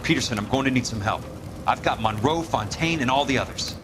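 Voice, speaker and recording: A man's voice: medium pitch, lively intonation, fairly quick, noisy background, lightly acted. A man playing a hard-boiled 1940s investigator in a detective game, grim and urgent.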